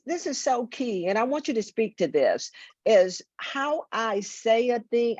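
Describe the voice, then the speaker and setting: Earnest lady cohost talking slow, kinda muffled dry sound. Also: medium pitch, darkish tone, no room sound. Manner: earnest, repeats words while thinking. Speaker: co-host. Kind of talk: talk show discussion.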